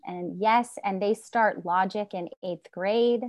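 A woman being interviewed on a podcast, speaking steadily and earnestly as she lays out her ideas. Her voice sits at an ordinary middle pitch, and it is recorded close and dry. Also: a little mellow, dry recording. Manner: measured, earnest, explaining. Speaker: guest, homeschool program leader. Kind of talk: casual interview chat.

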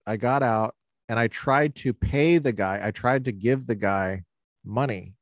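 A man hosting a podcast who tells a personal story in an animated, casual way, often restarting his sentences. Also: medium pitch, unhurried, no echo. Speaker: podcast host.

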